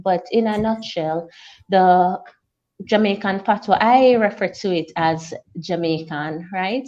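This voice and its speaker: A woman lecturer explaining things in an interview, measured and unhurried, with some fillers. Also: on the low side, a little mellow, dull audio. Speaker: linguistics lecturer, guest.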